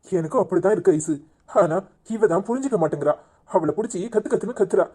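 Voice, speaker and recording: A man's voice: high voice, lively intonation, fast talker, warm tone, slightly rough. A man playing a troubled, apologetic family man, worried and deferential, in a cartoon village drama.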